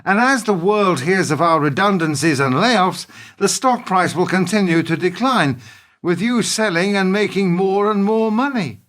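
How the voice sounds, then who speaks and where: A man's voice: high-pitched, moderate pace, fairly bright tone. A man acting in a radio play as an idealistic, indignant businessman, morally earnest and defiant, with an emphatic speechifying delivery. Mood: grim realization.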